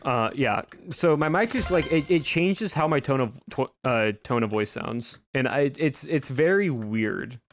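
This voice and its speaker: A man streaming from home, chatting casually with his viewers in a somewhat monotone voice, often restarting his sentences. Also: medium pitch, measured pace, few pauses. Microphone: home streaming microphone with a filter.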